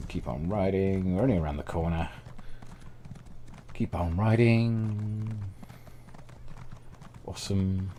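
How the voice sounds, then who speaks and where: Lowish-voiced streamer going hoarse. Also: male voice, dark tone, slight background noise. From Britain. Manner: chatty and enthusiastic, thinks aloud. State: slightly hoarse, losing his voice. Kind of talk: casual gameplay commentary.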